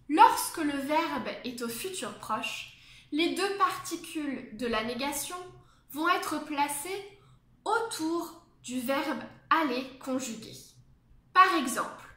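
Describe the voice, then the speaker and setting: A woman teaching a beginners' French lesson, speaking slowly and clearly and adding English glosses. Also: high voice, sharp tone. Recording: echoey room.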